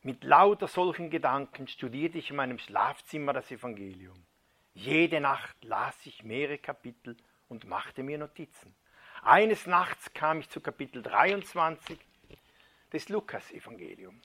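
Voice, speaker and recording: A man preaching, retelling a first-person conversion story as a dramatic reading that drops to a hushed near-whisper at key moments. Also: medium pitch, lively intonation, a little slow, slightly rough.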